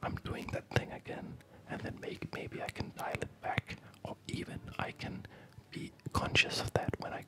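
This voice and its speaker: A man whispering a rambling, reflective ASMR commentary while he plays a game, with a breathy voice. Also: normal speed, hardly any pauses, rough recording.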